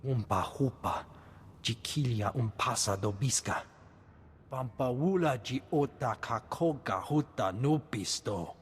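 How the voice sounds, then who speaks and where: A man's voice: medium pitch, a little slow, a little hiss or hum, lightly acted. A man voicing a scheming, glib alien in a video game, speaking in invented alien syllables. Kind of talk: video game creature acting. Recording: long reverb with music behind.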